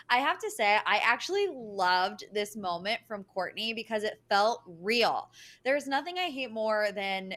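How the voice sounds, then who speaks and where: Bright, emphatic woman panelist. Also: mid-range voice. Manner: opinionated, emphatic. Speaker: panelist on a recap show. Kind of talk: casual opinionated discussion.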